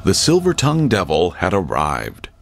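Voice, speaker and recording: A man's voice: deep voice, wildly swooping pitch, moderate pace, vocal fry, faint music behind, heavily acted. A man playing a pompous, grave documentary narrator, reading a scripted mock documentary. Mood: portentous.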